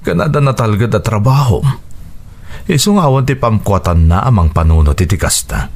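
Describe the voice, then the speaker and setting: A man's voice: fairly low pitch, expressive, normal speed, darkish tone. A male actor with an Ilocano accent playing an earnest, devoted widowed father and reassuring son in a radio drama. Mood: tender, serious. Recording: radio drama with background music.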